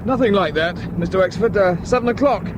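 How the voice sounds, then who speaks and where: An actor playing a hearty, obliging helicopter pilot in a radio play. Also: male voice, high-pitched, brisk, lo-fi sound, close to an everyday voice. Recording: outdoor airfield with loud noise.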